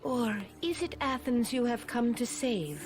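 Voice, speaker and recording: A hushed, prophetic oracle. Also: female voice, fairly high pitch, sing-song, a little slow, faint music behind. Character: mysterious female oracle, prophetic.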